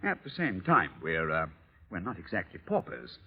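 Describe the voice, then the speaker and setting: An actor playing a patronising, thrifty but affectionate husband in vintage radio drama style, fussy and gently scolding. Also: male voice, low-pitched, sing-song, normal speed, breathy edge, heavily acted. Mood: stern, then indulgent. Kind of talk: 1930s-40s radio drama acting. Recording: dull vintage radio recording.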